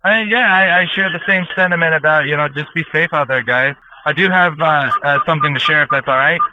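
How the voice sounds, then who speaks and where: A man's voice: fairly high pitch, a little fast. Muffled man on a noisy line. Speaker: truck driver guest, amateur voice actor. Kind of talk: casual chat.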